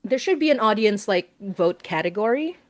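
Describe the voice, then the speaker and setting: A woman's voice: mid-range voice, expressive, moderate pace, dry recording. A woman on a livestream chatting casually about monsters and comics, laughing often and using lots of fillers. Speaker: podcast host and guest writer. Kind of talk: casual stream chat.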